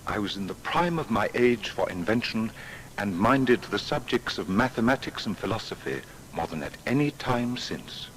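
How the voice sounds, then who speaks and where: A reflective man of science, recalling his life as if from a memoir in an audio drama. His voice is fairly low and varies widely in melody, over faint noise. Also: big pitch swings, normal speed, low-quality recording. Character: Isaac Newton, reflective man recalling his inventive prime.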